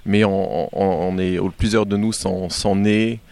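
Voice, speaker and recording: A man being interviewed as a band singer, chatting casually and haltingly, often repeating words as he goes. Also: lowish voice, fairly even intonation, a little mellow, clean tone. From born in Algeria.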